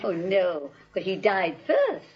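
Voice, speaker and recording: An actress playing a sweet, unflappable elderly aunt, genteel and matter-of-fact, in a 1940s screwball comedy style. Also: female voice, mid-range voice, huge pitch swings, slow, husky, full character voice. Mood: placid, matter-of-fact. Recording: muffled, dull vintage 1940s soundtrack.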